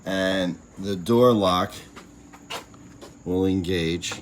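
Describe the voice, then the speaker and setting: A man's voice: deep voice, extremely slow. A man presenting a casual installation how-to, plain-spoken and chatty as he explains each step. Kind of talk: casual hands-on tutorial narration. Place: outdoors at a gate. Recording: outdoor noise with insects chirping.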